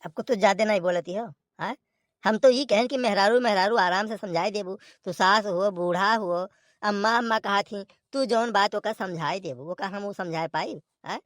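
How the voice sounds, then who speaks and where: A woman's voice: mid-range voice, moderate pace, no echo, close to an everyday voice. Cartoon role: an exasperated old father, grumbling and weary, as a woman puts him on. Dry, close sound. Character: exasperated elderly father, trying to calm the family.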